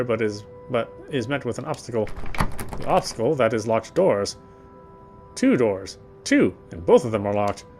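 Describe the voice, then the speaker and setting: A man reading visual novel narration aloud as he plays, often halting and correcting himself. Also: medium pitch. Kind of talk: read-aloud game narration.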